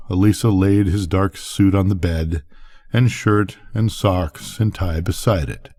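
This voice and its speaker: Older man narrating, low husky voice. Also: warm tone. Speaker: storyteller. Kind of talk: bedtime story narration.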